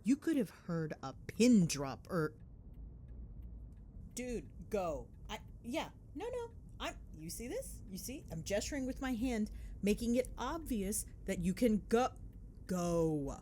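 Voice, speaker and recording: A woman playing a chatty, cheeky, affectionate girlfriend in an ASMR roleplay, telling stories in an animated, sarcastic, dramatic way. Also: mid-range voice, slow, slight background noise. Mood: amused, gossipy, then exasperated. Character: chatty, cheeky, affectionate girlfriend gossiping while driving home. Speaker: voice actor.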